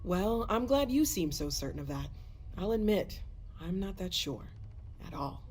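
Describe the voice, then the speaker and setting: A woman's voice: fairly low pitch, sing-song, a little slow, darkish tone, faint music behind. A woman voicing a thoughtful, self-questioning space explorer in a video game, candid and unsure. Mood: bewildered, pensive. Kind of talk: naturalistic dramatic game dialogue.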